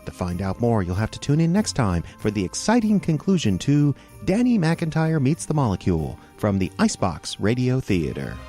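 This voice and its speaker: Casting: male narrator for a kids' radio serial, medium pitch, very animated storytelling, jingle-bell music underneath. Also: moderate pace, hardly any pauses. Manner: storyteller, addressing young listeners directly.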